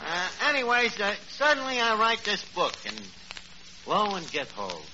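An actor playing a brash, bumbling New York tavern manager in a 1940s radio comedy, full of boasts and mangled fancy words. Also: male voice, high voice, huge pitch swings, normal speed. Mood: boastful. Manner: wisecracking, bumbling malapropisms. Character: brash, boastful Brooklyn tavern manager wooing an old flame. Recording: noisy, muffled old radio broadcast.

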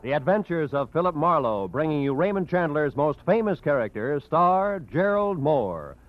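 A man announcing credits and promotions in formal 1940s radio style. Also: fairly high pitch. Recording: muffled old radio broadcast with music.

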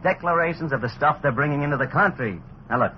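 An actor playing a brash, wisecracking newspaper reporter with sarcastic slang, in 1940s radio style. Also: male voice, a little high, fast talker, lightly acted. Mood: teasing. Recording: dull, noisy old radio recording.

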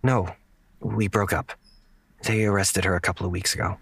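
A man playing an earnest, apologetic young man who speaks haltingly in an audio drama. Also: low-pitched, steady tone, vocal fry, fairly bright tone, slightly rough, exaggerated voice.

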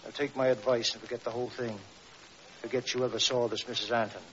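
A mid-pitched male voice of an actor plays a dismissive senior police official in a vintage radio drama. He blusters and hems evasively. The dull old recording has faint hiss. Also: level tone, fast, fairly bright tone, lightly acted. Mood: evasive, awkward. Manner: blustering, hesitant hemming.

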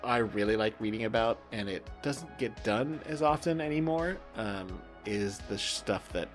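A man's voice: on the low side, moderate pace, soft background music. A man hosting a podcast in casual, joking chat, with an irreverent, sweary way of talking. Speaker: podcast host.

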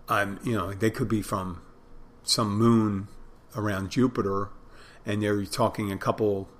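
A man's voice: lowish voice, a bit bright. Rambling man with a Philadelphia accent. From Philadelphia, United States. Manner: meandering, self-deprecating, trails off mid-thought. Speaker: podcast host. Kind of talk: casual rambling solo podcast talk.

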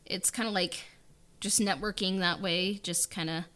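A woman co-hosting a podcast in casual chat, speaking in halting short phrases. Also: on the low side, fairly even intonation, sharp tone. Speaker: podcast host.

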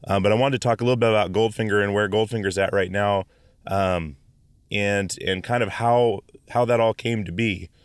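A man hosts his podcast in an easygoing way, filling his sentences with ums and restarts. His voice sits a little low and sounds smooth. The recording is dry and close. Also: moderate pace, no room sound. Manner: relaxed, many fillers and restarts. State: hoarse after stomach flu. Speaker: podcast host. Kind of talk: casual podcast intro and interview.

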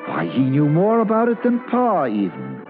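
A man's voice: a little high, wildly swooping pitch, moderate pace. A man playing a grown actor who fondly recalls his boyhood in a folksy old-time radio drama narration. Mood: proud, wondering. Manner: nostalgic, folksy storytelling. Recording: tinny vintage radio, loud music behind.

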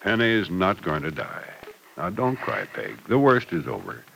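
A man's voice: low-pitched, wildly swooping pitch, moderate pace, vocal fry, a bit bright, full character voice. An actor playing a kindly, fatherly confidant in a 1940s radio soap opera, thoughtful and soothing in manner. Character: kindly, fatherly male confidant, calm and reassuring. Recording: noisy old radio broadcast recording.

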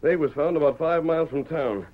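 A man's voice: on the high side, a little fast, lightly acted. An actor playing a resolute, fair-minded American hero in an old radio Western, earnest and formal in his diction. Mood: grave, determined. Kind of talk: 1940s Western radio drama acting. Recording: dull old radio recording.

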